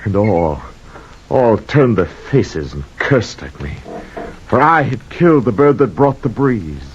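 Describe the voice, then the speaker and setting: A man's voice: fairly low pitch, big pitch swings, takes it slowly. An actor playing a guilt-ridden sailor telling his tale in solemn, archaic words in old-time radio drama style. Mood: haunted, grave. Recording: muffled, noisy vintage radio recording.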